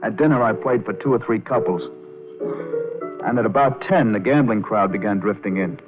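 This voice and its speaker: An actor playing a cool, self-assured club pianist in an old-time radio crime drama, unruffled and matter-of-fact. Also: male voice, lowish voice, normal speed, close to an everyday voice. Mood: wry, storytelling. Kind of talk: old-time radio drama acting and first-person narration. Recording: tinny old radio recording with music behind.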